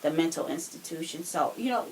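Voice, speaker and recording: A woman's voice: sits low, animated, a little fast. A woman in a casual podcast discussion, reasoning things out in wordy, somewhat formal phrases. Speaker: podcast co-speaker. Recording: noisy room ambience.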